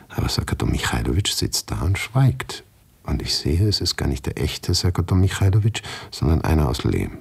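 A man performing a first-person literary monologue for a radio play, intense and shifting between hushed and agitated delivery. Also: low voice, gravelly, slightly rough, no room sound.

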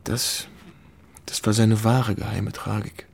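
A weary, reflective war reporter. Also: male voice, lowish voice, level tone, slow, creaky. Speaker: actor in a radio drama. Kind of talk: German radio drama dialogue, reminiscing.

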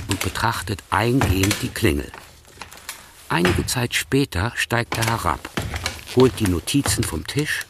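A man with a very low voice narrates a radio play in formal literary prose. There is clear noise on the recording.

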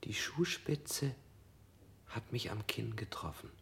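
Gravelly broken prisoner, flat and numb, half-whispered. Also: male voice, lowish voice, slow pace, full character voice. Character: battered male prisoner under interrogation. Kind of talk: 1960s radio play acting.

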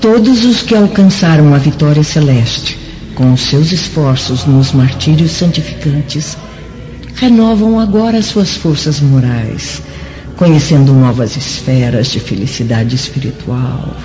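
Ator como espírito elevado, voz masculina meio aguda. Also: male voice, a little high, a little slow, lightly acted. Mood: uplifted. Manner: declamatory, formal. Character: exalted spirit companion explaining paradise, kindly and uplifted. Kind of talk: solemn religious radio drama acting. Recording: dull recording, music behind.

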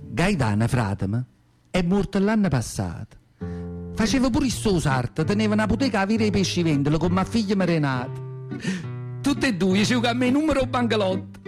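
An old Southern Italian mother in a high voice, telling her memories in a radio play over music. She has a Neapolitan accent and rambles tenderly and devoutly, confiding in listeners with rhetorical asides. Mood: wistful. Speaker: actor in a radio monologue. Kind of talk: theatrical radio monologue storytelling.

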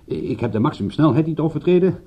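A man's voice: a little high, lively intonation, quick pace, slightly rough, lo-fi sound. A man playing an indignant, glib British private detective in a radio drama, argumentative and wordy, stumbling into his sentences. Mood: defiant. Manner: argumentative, stammering starts, wordy formal retorts.

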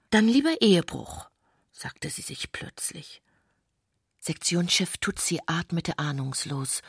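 A woman narrating a German literary radio drama in a measured, intimate way, husky and breathy, often sinking towards a whisper. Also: deep voice, dry recording, studio quality, full character voice.

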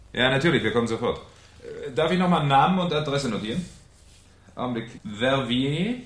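An actor playing a shrewd, courteous French police commissioner with dry irony in a 1970s German radio play. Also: male voice, medium pitch, close to an everyday voice. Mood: businesslike, courteous. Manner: polite, dryly ironic, unhurried questioning. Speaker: actor in a radio crime drama.

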